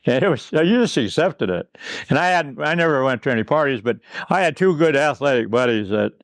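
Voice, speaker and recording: An older man from Pine Ridge, South Dakota, spinning rambling memories with dry humor and a chuckle. His voice sits at a middle pitch. The recording is close and dry, with no room sound. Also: lively intonation, fairly quick, no room sound. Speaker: interview guest. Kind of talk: reminiscing in a recorded conversation.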